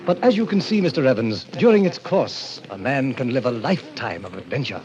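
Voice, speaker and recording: An actor playing a suave, sinister middle-aged club president, theatrical and courtly, in 1940s radio drama style. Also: male voice, mid-range voice, lively intonation, a little fast, a little mellow, a little hiss or hum, natural voice. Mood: smoothly sinister. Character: suave, sinister fifty-year-old president of a death club. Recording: muffled old-time radio recording.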